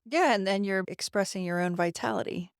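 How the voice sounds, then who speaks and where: A woman's voice: mid-range voice, a little fast, vocal fry, clear, resonant tone, no room sound, studio quality. A woman hosting a podcast, reading a polished intro and asking interview questions. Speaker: podcast host.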